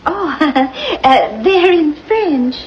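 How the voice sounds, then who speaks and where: A woman's voice: high voice, very animated, moderate pace. A gushing society mother. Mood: fluttery. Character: fussy wealthy society mother, fluttery and gushing. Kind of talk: classic film acting. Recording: dull old film soundtrack with faint hiss.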